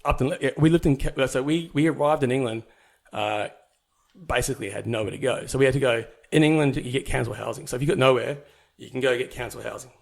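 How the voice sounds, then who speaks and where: A man's voice: medium pitch, fast, vocal fry. A young man from New Zealand, a podcast guest, telling his life story in a casual, rambling way with many fillers and restarts.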